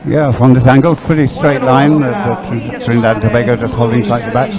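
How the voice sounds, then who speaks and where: A man giving loose, halting live race commentary outdoors, often rambling and thinking aloud. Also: medium pitch, flat delivery, crowd noise. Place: outdoors beside a race course. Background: music, loudspeakers at a sports venue.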